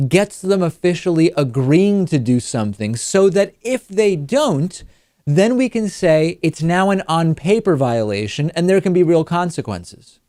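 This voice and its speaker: A man's voice: a little high, warm tone, no echo. Man hosting dry, close-miked political commentary. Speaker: political talk show host. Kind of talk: news commentary and analysis.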